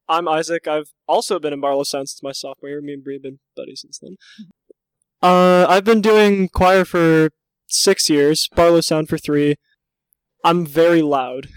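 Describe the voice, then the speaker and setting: A man's voice: on the high side, clean tone, dry recording, rough recording. A teenage boy who sings tenor, talking about himself in a joking, self-deprecating way. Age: teens. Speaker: tenor in a high school a cappella group.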